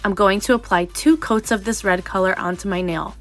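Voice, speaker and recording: A woman's voice: mid-range voice, fairly even intonation, a little fast, a bit bright, soft background music. A young adult woman narrating a nail tutorial voice-over in a chatty, explanatory way, sounding slightly nasal from a cold.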